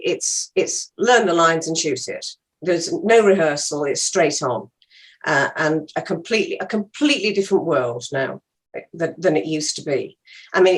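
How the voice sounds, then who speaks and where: A woman's voice: sits low, rough recording. An older woman with a British accent, a guest actor talking casually in an interview.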